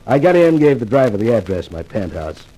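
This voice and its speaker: A man's voice: on the low side, very animated, brisk, warm tone. A man playing a flippant, self-assured celebrity columnist in a 1950s radio detective drama, with a wisecracking manner. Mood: wry, breezy. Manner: wisecracking, cocky. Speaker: lead actor and first-person narrator. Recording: noisy old radio drama recording.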